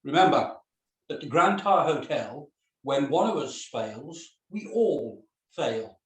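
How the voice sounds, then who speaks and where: A man's voice: on the high side, very animated, fairly bright tone, exaggerated voice. A man playing a stern, self-important hotel manager in a script read-through, speaking formally and pompously. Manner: formal, pompous, deliberate speechmaking. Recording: roomy, echoing voice recording.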